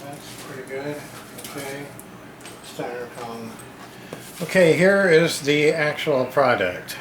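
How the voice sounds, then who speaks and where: A man's voice: medium pitch, animated, slow, noisy background. A man casually narrating a hands-on unboxing as a radio repair hobbyist, talking to himself in folksy short fragments.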